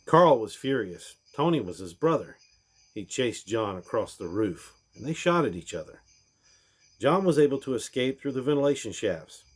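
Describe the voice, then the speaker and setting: A man reading a rhyming storybook aloud in a theatrical way, with deliberate pauses. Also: medium pitch, big pitch swings, slightly rough. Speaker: reader of a storybook.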